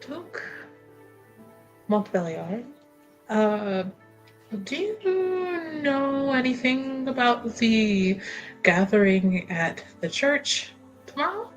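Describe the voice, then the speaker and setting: A woman playing a flattering, wheedling noblewoman niece in a tabletop game, drawing out her words theatrically. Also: a little high, very slow, long pauses, lo-fi sound, faint music behind, pitched above the performer's own voice. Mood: coy flattery. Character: flattering, coy noblewoman niece feigning piety.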